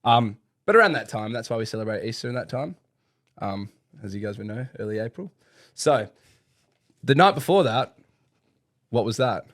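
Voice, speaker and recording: Podcast dude joking around, laughing a lot, super dry close sound. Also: male voice, mid-range voice, expressive, normal speed, pauses often, no echo. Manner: joking, laughs often, reads trivia loosely. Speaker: podcast co-host. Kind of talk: casual chat.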